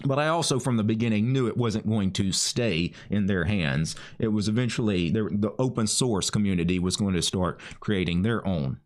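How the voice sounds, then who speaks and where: A man hosting a casual video chat, talking in a measured, explanatory way. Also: lowish voice.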